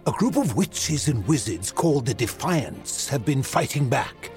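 An actor playing an ancient, grave warlock mentor in an audio drama, telling dark history in a portentous, deliberate way. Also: male voice, mid-range voice, sing-song, soft background music. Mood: urgent, hopeful.

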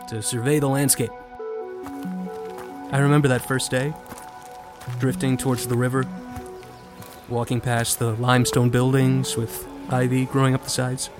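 A man's voice: mid-range voice, lightly acted. An actor playing a privileged, cocky American college freshman in an audio drama, speaking and narrating in a casual, dry, self-assured way. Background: music bed.

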